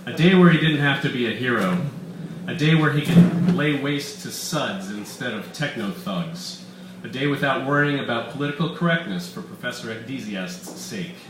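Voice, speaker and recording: A man reading his own story aloud with deliberate, dramatic emphasis at a live literary reading in an echoey bar room. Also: mid-range voice, animated. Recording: echoey room, distant mic, faint background noise.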